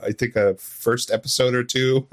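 A man speaking as an interview guest in casual chat, telling things informally and a little haltingly. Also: mid-range voice, steady tone. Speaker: interview guest, audio drama writer.

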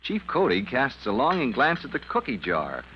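Dramatic 1940s radio announcer. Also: male voice, mid-range voice, huge pitch swings, normal speed, slight background noise. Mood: narrating. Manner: dramatic, declamatory. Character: dramatic male announcer of a firefighting adventure serial. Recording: dull 1940s radio recording, music behind.

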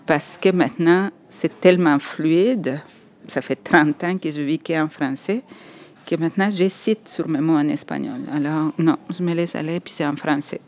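A woman's voice: low-pitched, normal speed, darkish tone. Woman recalling her past. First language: Spanish. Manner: reflective, with hesitations and laughs. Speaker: interview guest. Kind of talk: personal storytelling in a podcast interview.

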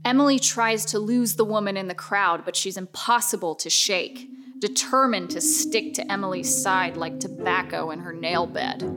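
A woman reading scripted horror narration in a measured, suspenseful way. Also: medium pitch.

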